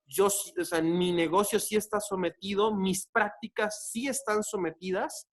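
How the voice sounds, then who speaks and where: A man's voice: high-pitched, fairly even intonation, moderate pace. A man with a Mexican accent, a chef arguing insistently and restarting his sentences as he pushes back. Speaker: chef and debate guest. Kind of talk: casual debate.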